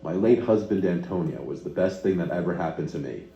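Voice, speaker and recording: Low dark guy narrating a story, kinda muffled and echoey. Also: animated, fairly quick, creaky, soft background music. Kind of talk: scripted first-person narration. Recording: muffled, roomy playback from another video.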